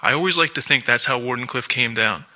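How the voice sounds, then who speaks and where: An actor playing a guarded, paranoid scientist in a video game, speaking in formal, grave, reflective monologue. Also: male voice, mid-range voice, fairly quick, pushed and bright. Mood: brooding, reflective.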